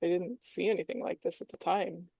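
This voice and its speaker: A woman playing a baffled local police chief in a tabletop game, halting and plain-spoken. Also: on the low side, steady tone, creaky, warm tone, husky, no room sound, low-quality recording. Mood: defensive.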